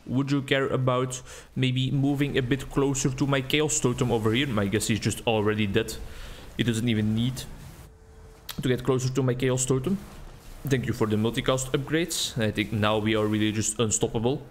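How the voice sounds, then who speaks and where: A young Belgian-accented man talks through his gameplay at an easy, meandering pace, thinking aloud between remarks. His voice sits at an ordinary middle pitch. A faint hiss of noise runs underneath the recording. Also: moderate pace. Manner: rambling, relaxed, thinking aloud. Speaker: gaming video creator. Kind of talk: casual gameplay commentary. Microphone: desk mic with webcam.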